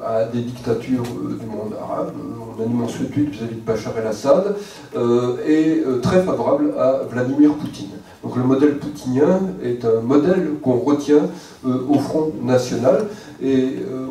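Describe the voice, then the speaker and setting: A man giving a measured political analysis, lecturing with frequent hesitations. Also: medium pitch, dark tone. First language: French. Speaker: political commentator. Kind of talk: analytical talk. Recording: roomy, distant mic, faint noise.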